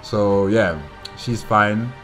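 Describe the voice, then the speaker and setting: A man with a very low voice hosts a reaction video at a slow pace. He recaps and comments casually, pausing as he thinks aloud, with music behind him. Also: level tone, a bit bright.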